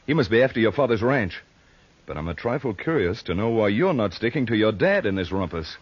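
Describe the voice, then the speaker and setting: Fair-minded frontier hero, folksy and animated, rough-edged voice, dull 1940s radio sound. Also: male voice, lowish voice, a little fast. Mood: friendly, probing. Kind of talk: 1940s radio western acting. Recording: muffled old radio broadcast recording.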